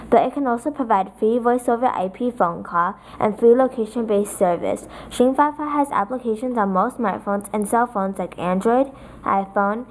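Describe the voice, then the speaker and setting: Higher-voiced woman narrating a software tutorial. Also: slight background noise, rough recording. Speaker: presenter.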